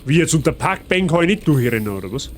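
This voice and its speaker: A man's voice: on the high side, big pitch swings, fast talker, slight background noise. A man with a Bavarian accent giving casual, chatty and playful live gaming commentary in colloquial speech. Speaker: streamer.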